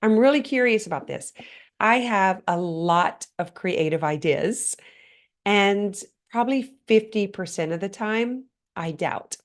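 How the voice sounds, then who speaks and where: A woman hosting a motivational podcast on her own, speaking earnestly and often putting questions straight to the listener, her voice a little hoarse and stuffed up. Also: medium pitch, expressive, a little slow. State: slightly hoarse, congested. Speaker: podcast host. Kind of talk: motivational solo talk.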